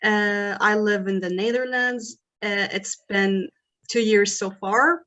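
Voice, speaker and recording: A woman's voice: medium pitch, fairly even intonation, takes it slowly, fairly bright tone. A woman from Syria introducing herself informally as a team member in an online meeting.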